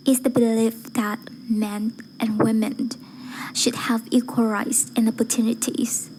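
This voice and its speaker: A woman with a fairly high, breathy voice recites a formal speech. Her delivery is measured and deliberate, with very little melodic movement. The tone is soft-edged and mellow, over faint background noise. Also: poor audio. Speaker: amateur voice-acting student reciting a speech.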